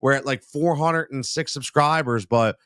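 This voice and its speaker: A man's voice: medium pitch, normal speed, fairly bright tone, clear, resonant tone, dry recording. Stuffy-nosed guy on a live sports stream, rambly, keeps saying man, dry close mic. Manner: rambling, repeats himself, says man a lot. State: congested from a cold. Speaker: sports show host.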